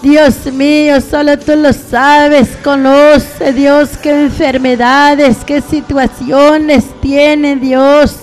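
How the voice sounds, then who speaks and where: A woman's voice: high voice, level tone, extremely slow, hardly any pauses, low-quality recording. A mature woman preacher praying aloud in a pleading, spontaneous way, calling out to God again and again in short phrases. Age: middle-aged or older. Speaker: evangelical preacher praying on a radio programme. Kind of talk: fervent spontaneous intercessory prayer. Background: faint music.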